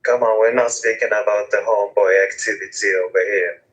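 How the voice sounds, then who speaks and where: A man's voice: lowish voice, fairly even intonation, brisk, poor audio. A man trolling a live audio chat room, mocking and provoking other speakers. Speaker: room participant.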